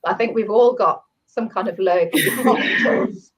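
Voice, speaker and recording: A woman with a cold and a croaky voice, chatting as a guest author and then reading her own short story aloud. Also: medium pitch, measured pace. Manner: warm, chatty, with laughs and restarts. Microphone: video call. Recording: dull lo-fi video call audio.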